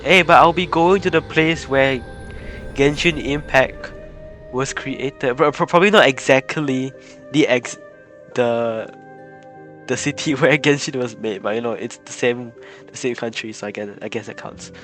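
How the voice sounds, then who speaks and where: Man, casual gameplay chat, mid-pitched voice, giggly, flustered repetitions, clear game music underneath. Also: unhurried, a bit bright. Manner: giggly, flustered, repeats words. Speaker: game livestreamer. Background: video game music.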